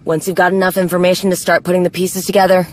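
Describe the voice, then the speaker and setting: A woman's voice: fairly low pitch, level tone, fast, slight background noise, lightly acted. A woman playing a tough, guarded young warrior in a video game, speaking bluntly and drily. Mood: businesslike, determined. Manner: blunt, dry, no-nonsense. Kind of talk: video game cutscene acting.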